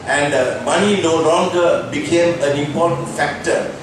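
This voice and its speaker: Soft-spoken Sri Lankan man, echoey room. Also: fairly high pitch, fairly even intonation, normal speed. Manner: thoughtful, hesitant, repeating starts. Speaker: conference speaker at a press briefing. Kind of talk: reflective talk with light laughs. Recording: echoey room, mic at a distance, clear background noise.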